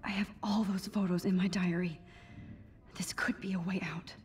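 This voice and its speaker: An actress playing an earnest, defiant captive teenage girl in a video game, with a husky, strained delivery. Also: fairly low pitch, flat delivery, normal speed, a little hiss or hum, close to an everyday voice. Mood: pleading, tense.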